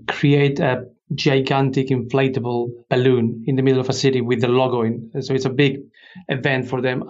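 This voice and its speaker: Male expert guest, mid-pitched, explanatory and conversational, slightly muffled lo-fi recording. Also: a little mellow. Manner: explanatory, poses questions then answers them. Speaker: interview guest, industry expert.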